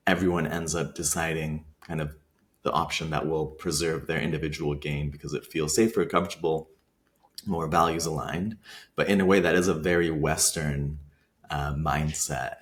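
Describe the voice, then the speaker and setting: Deep voiced guy from iran cohosting a chatty podcast, talks slow and thoughtful. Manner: thoughtful, measured explaining with fillers. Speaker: podcast co-host. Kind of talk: casual conversational podcast.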